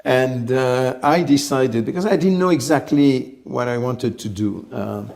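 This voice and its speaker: A man in his sixties with a gravelly, slightly creaky voice, speaking at a middling pitch. He tells his story in a calm, reflective way as an interview guest. He often stops briefly to search for the right word. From France. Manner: measured, reflective, pauses often to find words. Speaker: company head, interview guest.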